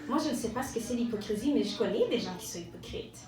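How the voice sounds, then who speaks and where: In a very echoey room, a woman delivers stand-up in a fairly high voice. She is ironic and self-mocking, with hesitant pauses and repeated words. The microphone sits a little way off. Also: lively intonation, crowd noise. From Rwanda. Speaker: stand-up comedian. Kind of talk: stand-up monologue to a live audience. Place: live venue with an audience. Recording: echoey room, distant mic, audience noise.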